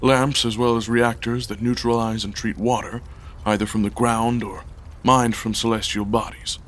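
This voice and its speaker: An actor playing an irritable, long-legged head of a tech company in an audio drama, speaking in a terse, dry, weary manner. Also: male voice, medium pitch, level tone, normal speed, fairly bright tone, a little hiss or hum, natural voice. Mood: dry, impatient.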